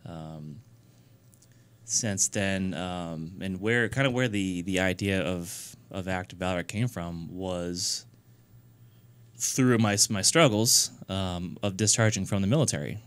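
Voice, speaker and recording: A man's voice: deep voice, slow pace, clear, resonant tone, no room sound. A man in his thirties from New Hampshire, a guest in a casual radio interview, talking earnestly with many fillers and restarts. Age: 30s. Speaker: guest, nonprofit founder.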